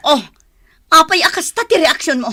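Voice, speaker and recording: A woman's voice: very high voice, expressive, moderate pace, pushed and bright, dry recording, exaggerated voice. A woman acting as a hurt, suspicious girlfriend in an Ilocano radio drama, accusing and insistent. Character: hurt, suspicious girlfriend confronting her unfaithful boyfriend.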